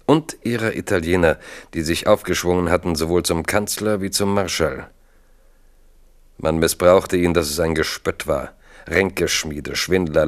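A man narrating a German radio drama in a scripted, dramatic way, with terse, weighty phrasing. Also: low-pitched. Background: music.

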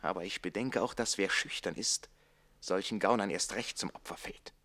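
An actor playing a jealous, witty, defiant servant in a theatrical radio play, with declamatory delivery that moves between hushed and forceful. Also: male voice, low voice, normal speed. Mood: defiant, conspiratorial.

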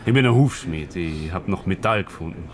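An actor playing a gruff, matter-of-fact blacksmith in a radio drama. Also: male voice, low voice, lively intonation, fairly quick, a crowd in the background. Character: plain Austrian blacksmith on a train.

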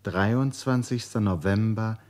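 A man reads scripted literary narration for a radio drama, with measured, dramatic phrasing. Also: fairly low pitch, darkish tone.

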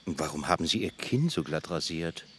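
An actor playing a cheeky waistcoat maker in a radio drama, speaking in a teasing, intimate, flirtatious way. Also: male voice, rumbling low, animated, moderate pace, noisy background.

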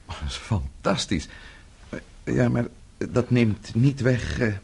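An actor in a radio drama playing a worried, courteous husband, hesitant and polite, with a very husky voice. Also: male voice, medium pitch, lively intonation, measured pace, darkish tone, full character voice. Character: worried, courteous husband, deferential to his father-in-law.

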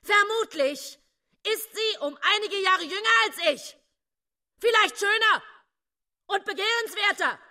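A woman's voice: very high-pitched, animated, a little slow, long pauses, sharp tone, full character voice. An actress playing a jealous, self-pitying woman's inner voice in a radio drama, fretful and insinuating. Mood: jealous, aggrieved.

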